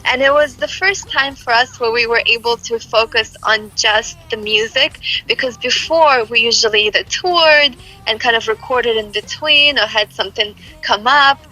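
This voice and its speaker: A woman's voice: a little high, normal speed, hardly any pauses, bright, forceful tone, poor audio. A woman from Russia, a singer, chatting in a friendly, easy way in an interview and laughing often. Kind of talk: casual interview chat.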